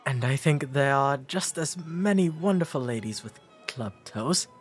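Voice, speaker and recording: A man with an English accent playing a courteous, shy, bookish 19th century gentleman in a romantic audio drama, speaking formally and gently. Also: medium pitch, animated, unhurried, soft background music, lightly acted. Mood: wry, amused. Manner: formal, polite old-fashioned diction with hesitant, gentle delivery.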